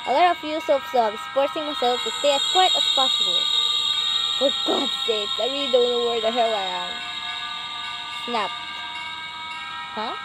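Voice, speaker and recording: A woman reading a visual novel aloud as she plays, with expressive, excitable delivery. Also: fairly high pitch, moderate pace, long pauses, poor audio. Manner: expressive, excitable, swearing in asides. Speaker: gamer recording a playthrough. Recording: loud music over the voice. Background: loud intense game music.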